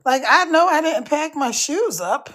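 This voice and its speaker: An older woman with a twang talks in a high, scratchy voice, hoarse from hours of talking. She chats in an easygoing, folksy way, calling her viewers "y'all." She takes her time, speaking slowly and unhurriedly. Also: animated. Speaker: live streamer. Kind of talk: casual live-stream chat. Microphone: phone.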